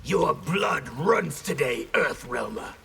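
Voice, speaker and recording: A man's voice: a little high, expressive, slow, gravelly, bright, forceful tone, a little hiss or hum, exaggerated voice. A man playing a contemptuous, brutal enemy warrior in a video game, aggressive and sneering. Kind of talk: video game character dialogue.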